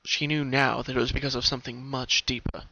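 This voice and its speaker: A man's voice: mid-range voice, little pitch movement, fairly quick, pushed and bright, no room sound. A man reading a story aloud as narrator, in a dramatic style. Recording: dull, muffled home recording.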